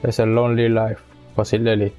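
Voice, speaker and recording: Mellow male game streamer, halting delivery. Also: lowish voice, completely flat delivery, faint music behind. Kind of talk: casual playthrough commentary, reading dialogue choices aloud.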